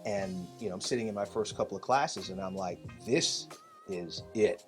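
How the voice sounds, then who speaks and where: Low-voiced American man telling his story. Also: moderate pace, faint music behind. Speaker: interview guest, pastor. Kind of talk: conversational personal storytelling.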